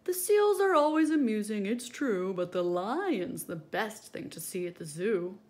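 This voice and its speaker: Woman playing a loud, opinionated zoo-going man. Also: medium pitch, sing-song, unhurried. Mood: admiring. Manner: declamatory, emphatic. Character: opinionated male zoo visitor, loudly declaring his preferences.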